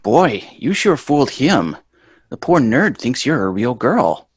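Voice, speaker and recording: A man's voice: medium pitch, big pitch swings, measured pace, slightly rough, dry recording, studio quality. A man playing a bratty, exasperated young boy in a sitcom table read, brash and sarcastic. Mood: mocking, explaining.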